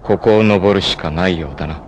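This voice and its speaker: An actor playing a fierce, proud martial artist in an anime, with an intense, theatrical delivery. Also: male voice, deep voice, lo-fi sound, slight background noise, close to an everyday voice. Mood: brooding, cool. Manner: intense, theatrical, from cool measured speech to anguished shouts. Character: fierce, proud martial artist hunting his sister's abductor. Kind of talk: dramatic anime acting.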